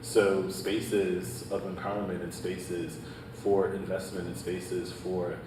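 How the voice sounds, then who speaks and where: Deep-voiced man on a panel, roomy. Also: level tone, slow pace. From Haiti. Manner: earnest, repeats "right?" and "um", leans on data. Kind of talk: panel discussion answer. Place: panel event in a hall. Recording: echoey room, distant mic, background noise.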